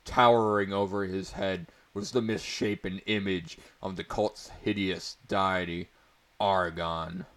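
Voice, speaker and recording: Guy reading fantasy prose out loud, really slow and kinda low, hammy and amused. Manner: portentous, breaking into amused commentary. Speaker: narrator reading a story aloud. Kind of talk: mock-dramatic storybook reading with amused asides.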